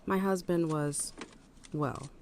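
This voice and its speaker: Lady playing tough housewife in audio drama, croaky gravel voice, dry and firm, keeps it controlled. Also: deep voice, takes it slowly, a little mellow, a little hiss or hum. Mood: firm, guarded. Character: hardened, self-controlled housewife, a woman with steely resolve. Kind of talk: fan audio drama acting.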